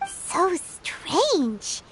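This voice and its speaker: A woman voicing a small, childlike, food-loving companion in a video game, squeaky and exclamatory. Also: very slow, slight background noise, heavily acted. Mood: puzzled. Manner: exclamatory, expressive. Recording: loud music behind the voice.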